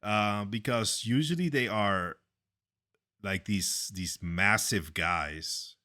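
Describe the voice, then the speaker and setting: Deep-voiced, loud, bright-toned man. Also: slow. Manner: loud and opinionated, lots of fillers and restarts. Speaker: podcast co-host. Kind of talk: casual conversation.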